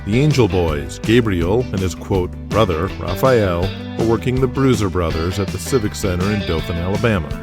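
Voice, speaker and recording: Low-voiced male narrator over music. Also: animated, moderate pace, hardly any pauses. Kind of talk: scripted audio drama narration.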